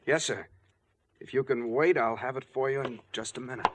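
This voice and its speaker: Man acting a husky, anxious radio-drama schemer. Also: mid-range voice, normal speed, close to an everyday voice. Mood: forced politeness. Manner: nervous, hesitant. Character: anxious, guilty pharmacist plotting a murder. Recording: vintage 1940s radio broadcast.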